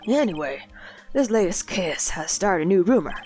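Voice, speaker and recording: Woman doing a goofy loyal cop guy, says pal a lot, lowish voice, swoopy, kinda stuffy from a cold. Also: expressive, moderate pace, darkish tone, soft background music, close to an everyday voice. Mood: earnest. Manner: folksy, calls people pal. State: sick with a cold.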